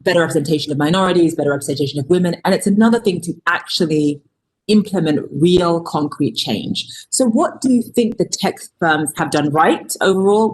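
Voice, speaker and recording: Low-voiced woman moderating a panel. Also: a little hiss or hum, rough recording.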